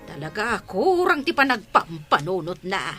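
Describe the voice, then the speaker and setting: A woman's voice: medium pitch, sing-song, normal speed, a bit bright, soft background music. A woman with a Filipino accent playing a worried, exasperated villager in a family radio drama, fretful and emphatic. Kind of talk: Ilocano radio drama acting.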